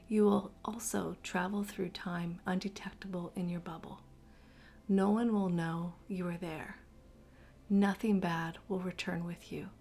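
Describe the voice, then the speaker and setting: Low, soft creaky woman guiding meditation. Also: a little hiss or hum. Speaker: meditation guide. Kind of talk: guided meditation narration.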